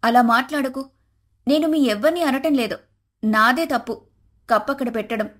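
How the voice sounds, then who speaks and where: A woman storyteller plays a young wife and elder sister in a cartoon family drama. Her voice sits high, and she carries a wronged, vengeful temper. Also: moderate pace, a bit bright. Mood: hurt, tearful.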